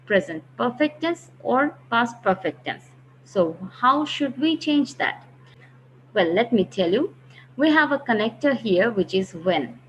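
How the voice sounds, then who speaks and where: A woman teaching a grammar lesson, patiently explaining step by step and slowing down to read example sentences. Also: a little high, fairly bright tone, a little hiss or hum, rough recording. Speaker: English teacher.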